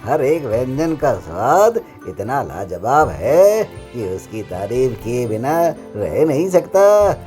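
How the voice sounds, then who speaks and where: Man acting a slow, effusive cartoon king. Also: medium pitch, mellow. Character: pleased, generous king praising his royal cook. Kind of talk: Hindi animated moral comedy acting.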